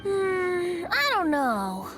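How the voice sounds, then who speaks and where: A woman's voice: extremely high pitch, very animated, extremely slow, over loud music, full character voice. A woman voicing a playful, boyish young bard in a video game, with a lilting, theatrical and flowery delivery. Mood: hesitant.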